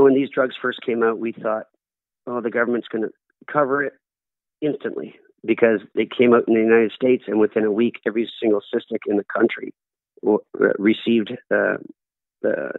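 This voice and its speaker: Gravelly man on a tinny line. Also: on the low side, fairly even intonation, moderate pace, no room sound. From Canada. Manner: earnest, storytelling with acted-out quotes. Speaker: guest. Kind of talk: conversational interview answers. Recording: dry, tinny phone-like line.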